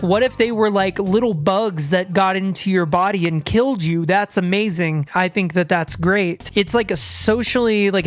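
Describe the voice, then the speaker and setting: A man hosting a horror video, talking excitedly with stammered restarts and sudden outbursts as he loses his voice. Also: high voice. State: losing his voice. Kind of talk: enthusiastic solo video commentary.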